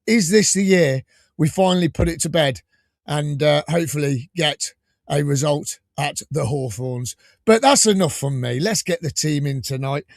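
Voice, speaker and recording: A man hosting a live fan podcast, enthusiastic and rambling, a little croaky from a cold. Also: fairly high pitch, moderate pace, no echo, clean studio sound. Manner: enthusiastic, rambling, laughs often. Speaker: podcast host.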